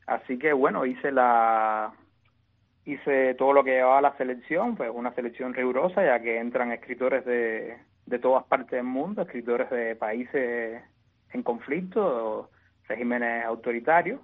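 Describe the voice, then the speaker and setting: A man with a Cuban accent, an exiled poet answering interview questions, speaking haltingly with many restarts and repeated words. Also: mid-range voice. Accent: Cuban Spanish. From Cuba. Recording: tinny phone-line audio.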